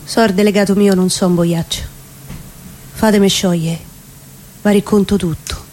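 A woman with a Roman accent playing a distraught working-class man confessing in dramatic dialect verse, pleading and halting. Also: mid-range voice, fairly even intonation, darkish tone, close to an everyday voice, poor audio. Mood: pleading, anguished. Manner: pleading, halting, emotive delivery. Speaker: reciting actress. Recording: live radio performance with background noise.

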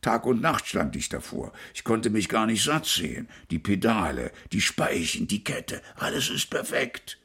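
A stern father in a radio drama, speaking with cool, measured calm. The voice sits low and gravelly with a husky edge, and the pitch swings widely across each line. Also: heavily acted. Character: stern aging father, later a shopkeeper. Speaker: actor. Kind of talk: radio play acting.

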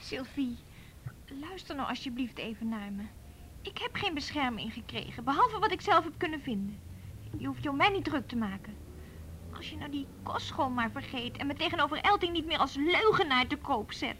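An actress playing a defiant, hurt sixteen-year-old daughter in a Dutch radio drama, mouthy and indignant. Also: female voice, high-pitched, expressive, moderate pace, a bit bright, slight background noise, low-quality recording. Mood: hurt, pleading.

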